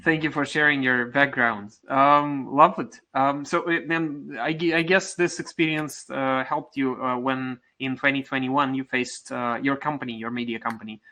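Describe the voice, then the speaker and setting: A man's voice: medium pitch, fairly even intonation, normal speed, hardly any pauses, bright, forceful tone. A man hosting a conference interview, friendly and casual, with many fillers. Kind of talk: conversational interview.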